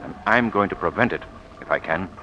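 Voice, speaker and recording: A resolute gentleman detective with a lowish, animated voice. It is vintage radio acting on a muffled old recording. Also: fairly quick, soft background music. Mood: composed, grave. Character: suave, resolute gentleman sleuth.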